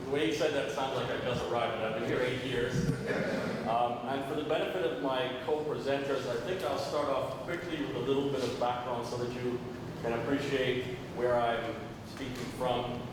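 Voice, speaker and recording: Man, professor, frank measured talk, echoey noisy hall. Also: mid-range voice, fairly even intonation, distant mic. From Jamaica, raised in Canada. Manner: frank, measured, with frequent restarts. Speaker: professor, symposium speaker. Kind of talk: academic conference talk.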